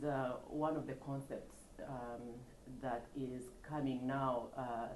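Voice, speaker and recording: A woman's voice: very deep voice, dark tone. Woman professor, roomy sound. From Kenya. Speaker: professor and nonprofit founder. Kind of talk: interview answers. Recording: roomy echo, faint background noise.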